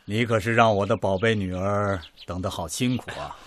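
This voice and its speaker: A man's voice: on the low side, steady tone, normal speed, fairly bright tone, slight background noise, natural voice. An actor playing a principled, doting middle-aged father and senior official in a Chinese radio drama, authoritative and avuncular. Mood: hearty.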